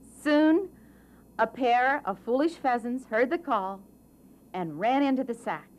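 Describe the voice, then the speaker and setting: A woman with a high voice tells a children's story. She goes slowly and deliberately, swinging her pitch widely and phrasing for drama. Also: expressive. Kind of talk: scripted children's story narration.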